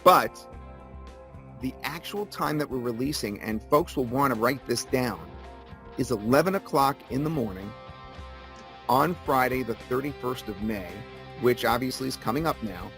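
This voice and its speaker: A man of ordinary pitch talks as a guest in an interview, selling his product with steady persuasion. He circles back to the key details to drive them home. Faint music plays behind him. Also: moderate pace.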